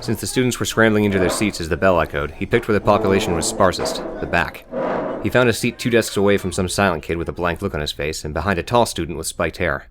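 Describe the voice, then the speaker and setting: A man's voice: sits low, quick pace, a bit bright, soft background music. A man narrating an audiobook story in a scripted storytelling style.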